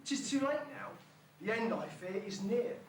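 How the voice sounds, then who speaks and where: A man's voice: high-pitched, lively intonation, moderate pace. A man playing a mournful loyal servant in an amateur pantomime, declaiming archaic rhyming verse with mock-tragic solemnity. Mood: mock mourning. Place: school hall stage, far from the microphone. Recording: echoey school hall, distant, noisy old tape.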